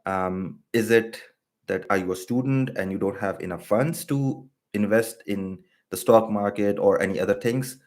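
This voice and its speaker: Guy from pakistan doing a finance livestream, lowish voice, lots of ums. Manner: hesitant, many ums and uhs, steady and explanatory. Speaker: personal finance YouTuber. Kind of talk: live-stream explainer with viewer Q&A.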